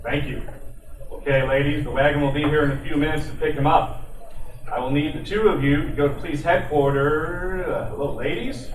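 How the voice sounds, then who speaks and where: A man playing a brisk, plain-spoken young police officer in an old-time radio detective drama. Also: fairly high pitch, fairly even intonation, natural voice. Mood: brisk, official. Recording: roomy, distant mic, faint noise.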